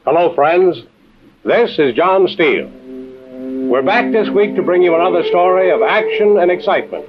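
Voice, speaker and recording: An actor playing a composed, authoritative intelligence chief and adventure storyteller, measured and dry, in a vintage radio drama with tinny sound. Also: male voice, high voice, animated, music in the background. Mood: inviting, deliberate. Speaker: host and narrator-character. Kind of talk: old-time radio adventure drama acting.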